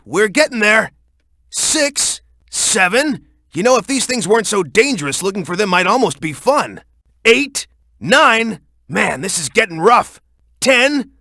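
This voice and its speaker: A bold young echidna brawler for a video game. The voice sits high and swings widely in pitch, recorded very dry. He is earnest and hot-headed, pushing every line with punchy urgency. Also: sharp tone, dry recording, heavily acted. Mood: concentrating. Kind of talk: English video game character voice acting.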